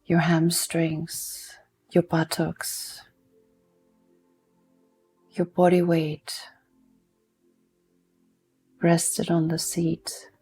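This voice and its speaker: A woman guides a meditation in a mellow, gently creaky voice that stays very even. She speaks softly and soothingly, leaving long pauses between short instructions, with music underneath. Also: lowish voice.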